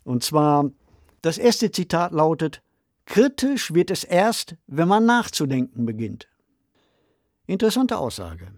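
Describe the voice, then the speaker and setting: A man co-hosting a podcast discussion, speaking in a measured, formal, explanatory manner. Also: a little high, big pitch swings, dry recording, studio quality. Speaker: podcast co-host. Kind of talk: discussion of fiction and ideas.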